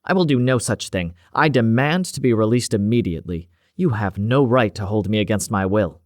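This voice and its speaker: A man's voice: on the low side, expressive, moderate pace, no room sound, studio quality, natural voice. A man playing a bullying woman scientist. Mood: angry. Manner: indignant and lecturing. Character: stocky, bullying middle-aged woman scientist.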